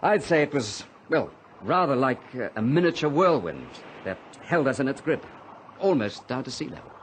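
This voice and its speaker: An actor playing a level-headed, commanding pilot leading crash survivors in a radio drama, firm and reassuring. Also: male voice, medium pitch, very animated, moderate pace, a little hiss or hum, close to an everyday voice. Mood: sober, explaining.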